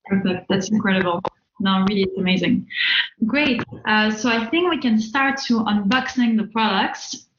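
A woman of average pitch hosts a casual interview and product review. Her manner is effusive and informal. The sound is echoey and slightly muffled. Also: normal speed, fairly bright tone. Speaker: podcast host. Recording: roomy, slightly dull sound.

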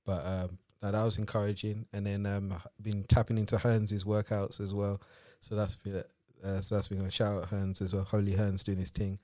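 A man's voice: on the low side, level tone, moderate pace, hardly any pauses, dark tone, no room sound, clean studio sound. A young man co-hosting a podcast, talking casually with plenty of hesitant fillers. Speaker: podcast co-host. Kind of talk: casual chat.

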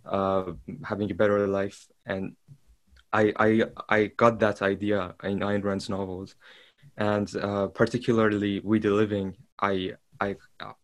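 A man, speaking as a podcast guest. He talks haltingly and keeps restarting as he searches for words. His voice sits low and stays fairly flat. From Iran. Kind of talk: casual interview talk.

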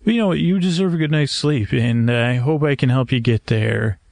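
A man hosting a bedtime podcast, talking in a creaky voice with a hesitant, meandering, measured and gently self-deprecating manner. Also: mid-range voice, no echo. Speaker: podcast host. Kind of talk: rambling, soothing bedtime monologue to help listeners sleep. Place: screened porch at night.

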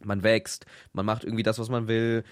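Insistent young man, fast and dry. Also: on the low side, flat delivery, dry recording. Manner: insistent, argumentative, repeats his point. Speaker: podcast co-host. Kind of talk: casual banter between friends.

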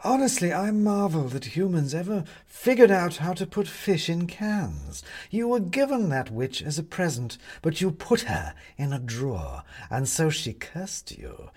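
A man's voice: fairly high pitch, very animated, measured pace, hardly any pauses, a little mellow, voice pushed up. A man voicing a haughty, sly cat in an audiobook, drawling with disdain and contempt for humans. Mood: smug disdain. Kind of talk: audiobook character voice.

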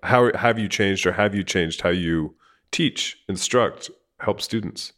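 A man's voice: deep voice, unhurried, a bit bright. A man in his forties hosting an interview, asking long, casual questions full of fillers and restarts. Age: 40s. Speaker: podcast host. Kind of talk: conversational interview.

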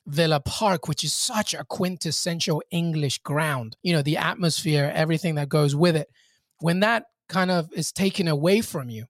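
A man's voice: a little high, normal speed, no room sound, studio quality. A man from Peru, a guest on a podcast, talking reflectively in casual chat with many fillers and restarts.

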